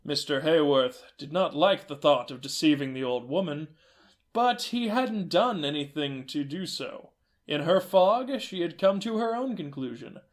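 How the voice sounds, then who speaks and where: Man, narrator, fairy tale, gentle, measured, fairly high voice. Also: lively intonation. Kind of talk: storybook narration.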